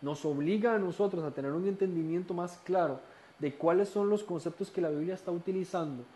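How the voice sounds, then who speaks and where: A man with a Costa Rican accent and a fairly high voice teaches a recorded Bible study course. He explains calmly, asks rhetorical questions and sometimes restarts a word. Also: brisk. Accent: Costa Rican Spanish. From Costa Rica.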